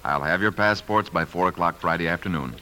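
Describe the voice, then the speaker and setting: An actor playing a cautious, by-the-book passport official, formal and polite, in 1950s radio drama style. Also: male voice, sits low, fairly quick, noisy background, lightly acted. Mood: businesslike. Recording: vintage 1950s radio broadcast.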